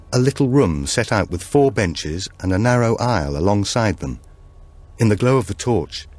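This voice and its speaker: A man reading a suspense thriller audiobook as its narrator, in measured and deliberate phrases. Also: lowish voice, darkish tone, slightly rough.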